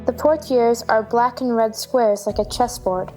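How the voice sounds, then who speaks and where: A woman's voice: on the high side, fairly even intonation, measured pace, a little mellow, soft background music, low-quality recording. A woman narrator reading stage directions in scripted narration for a play.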